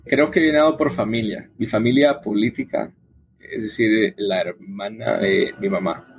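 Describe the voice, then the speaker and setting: A man's voice: lowish voice, measured pace, husky, poor audio. A man from El Salvador, answering interview questions in a conversational, explanatory way with frequent tag questions. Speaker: interview guest, coffee event organizer.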